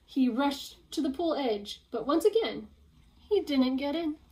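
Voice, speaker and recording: Young woman teacher doing a kids read-aloud, high voice, slow pace. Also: expressive, darkish tone, rough recording. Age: young adult. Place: small room at home, indoors.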